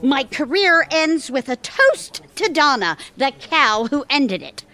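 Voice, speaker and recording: A woman's voice: high-pitched, sing-song, slow pace, pushed and bright, heavily acted. A woman playing a tough, sardonic older career woman in an audio theatre comedy. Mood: indignant, bitter.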